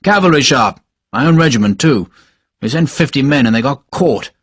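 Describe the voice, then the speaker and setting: A man voicing a short, pious older cavalry major who speaks gruffly and brusquely in clipped commands. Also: mid-range voice, lively intonation, moderate pace, no room sound, clean studio sound. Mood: explaining. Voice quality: gruff, brusque, barking laugh. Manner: clipped commands, fervent and impatient. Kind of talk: audiobook character voice.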